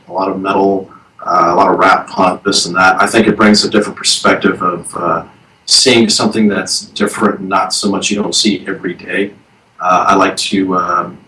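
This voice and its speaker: A man in his thirties, a band guest in a casual interview, talking slowly and hesitantly with many fillers. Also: deep voice, bright, forceful tone, slight background noise. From Texas. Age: 30s. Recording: echoey room, distant from the mic.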